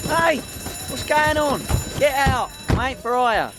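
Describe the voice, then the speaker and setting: An actor playing a hapless, boastful small-time crook and cab driver with a London accent, chatting and rambling in a radio comedy. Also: male voice, very high voice, animated, a little slow, very noisy. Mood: flustered.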